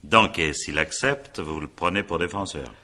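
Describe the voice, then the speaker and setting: An examining magistrate in a radio drama, with a very low, bright and forceful voice. He questions in a brisk, authoritative way, impatient and dryly sardonic, with a husky edge. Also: full character voice. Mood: formal, brisk. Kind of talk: French radio drama acting.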